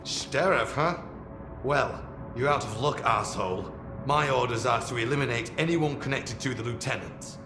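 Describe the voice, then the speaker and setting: A man's voice: mid-range voice, moderate pace, over music, close to an everyday voice. A man playing a cocky, blunt, taunting soldier in a video game cutscene. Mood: cold, hostile. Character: cocky hostile male soldier loyal to his orders. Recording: echoey room, noisy ambience.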